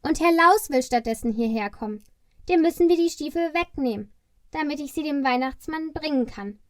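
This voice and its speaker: Lady doing tiny earnest christmas elf guy, high voice, dry sound, urgent, kids audio drama. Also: lively intonation, normal speed, dry recording. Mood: urgent, pleading. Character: small, earnest male Christmas elf on a mission. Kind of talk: family Christmas audio play acting.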